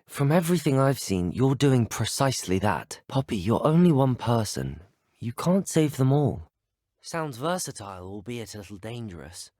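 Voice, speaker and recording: Well-spoken, animated British student wizard. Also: male voice, medium pitch, dry recording, clean studio sound. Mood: sympathetic. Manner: courteous, well-spoken, dryly wry. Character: polite, plucky teenage male student wizard hero. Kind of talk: video game voice acting, short dialogue lines.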